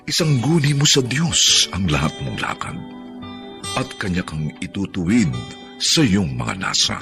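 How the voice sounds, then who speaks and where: A man delivering solemn, measured, theatrical scripted narration as the announcer of a radio drama. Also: deep voice, very animated, over music.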